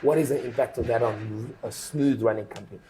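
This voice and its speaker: Brief: French-native man from the Congo, 30s, energetic interview guest with punchy rhetorical questions, mid-pitched voice. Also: a little fast, a little hiss or hum. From Democratic Republic of Congo. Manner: animated, emphatic, rhetorical questions. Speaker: consulting director, guest. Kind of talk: conversational interview. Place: conference.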